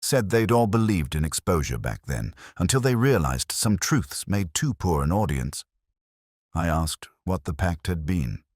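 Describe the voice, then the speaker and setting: A man playing a weary, middle-aged literary police inspector, narrating his case in a measured, reflective detective-drama style. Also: low-pitched, sing-song, a little mellow, no echo, clean studio sound, heavily acted. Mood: brooding, measured. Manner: measured, brooding, literary delivery. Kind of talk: scripted first-person detective narration.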